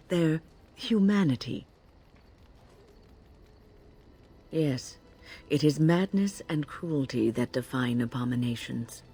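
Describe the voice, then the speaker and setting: A woman playing an earnest, thoughtful mage in a video game, speaking in a measured, grave and reflective way. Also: low voice, warm tone, husky, heavily acted. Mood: pensive. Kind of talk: video game dialogue acting.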